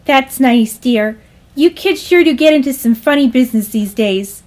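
A woman's voice: very high voice, big pitch swings, measured pace, voice pushed up, heavily acted. A woman voicing a dotty older mother in a storybook tale, sweet, placid and oblivious. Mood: breezy, oblivious. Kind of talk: acted storytelling dialogue.

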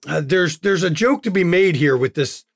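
Guy gm narrating a tabletop game, lots of uhs, a bit high, dry mic. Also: animated, dry recording. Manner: halting, many uhs and restarts. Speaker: game master.

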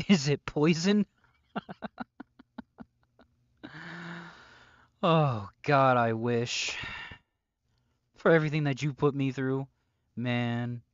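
A man playing a possessive, controlling young captor in an intimate audio roleplay, speaking deliberately and often dropping into breathy whispers. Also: medium pitch, dry recording, highs cut off, natural voice. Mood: mocking, menacing. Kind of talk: ASMR-style audio roleplay drama.

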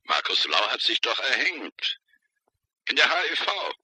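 An actor playing an apologetic police official bringing grim news in a radio crime drama, hesitant yet matter-of-fact, with a raspy, sharp voice. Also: male voice, lowish voice, huge pitch swings, unhurried, no echo, full character voice, rough recording. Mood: grave, matter-of-fact.

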